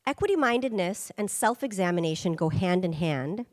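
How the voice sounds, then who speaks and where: A woman's voice: lowish voice, expressive, normal speed, in a large hall. A woman giving a conference presentation, speaking emphatically and checking in with rhetorical questions. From United States. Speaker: guest speaker.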